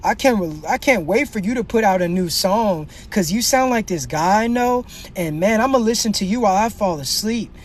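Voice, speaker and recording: A drawling, simpering fanboy , as a man puts him on, swooning over a streamer in a slow, breathy gush. The voice sits a little higher than his own. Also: expressive, a little hiss or hum. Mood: fawning. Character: male troll commenter, mocking, fawning.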